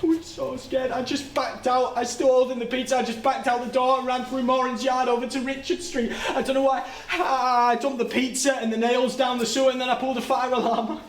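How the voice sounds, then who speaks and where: A man performs an anguished working-class man confessing in a live stage monologue. His fairly high male voice is plain and halting, stumbling and repeating words under the weight of guilt. The recording is roomy, with faint noise behind him. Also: lightly acted. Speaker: stage actor. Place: indoor soundstage. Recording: roomy, noisy soundstage livestream.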